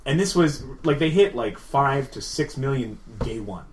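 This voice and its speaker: Guy cohosting a podcast, rambles on excitedly w lots of likes and uhs, bit of room noise. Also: mid-range voice, moderate pace. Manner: rambling, filler-heavy, enthusiastic explaining. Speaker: podcast co-host. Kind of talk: casual podcast chat about games.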